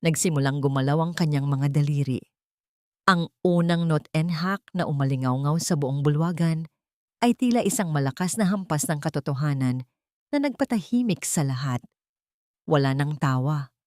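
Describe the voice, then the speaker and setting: Low-pitched woman narrating a drama. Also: moderate pace, darkish tone, no echo, studio quality. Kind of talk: dramatic scripted storytelling.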